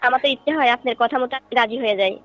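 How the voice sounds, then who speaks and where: A woman's voice: a little high, very fast, fairly bright tone, natural voice. A woman playing a courteous folk singer and troupe leader in a staged phone call, speaking in a polite, questioning, explanatory way. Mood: curious, tempted. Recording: dull phone-call audio with music behind.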